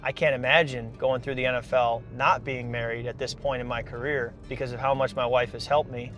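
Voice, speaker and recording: Male host, mid pitch, earnest encouraging mentor, faint music bed. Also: flat delivery, fairly quick, fairly bright tone. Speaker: host, pro quarterback mentoring draft prospects. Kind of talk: casual sit-down interview and voice-over narration.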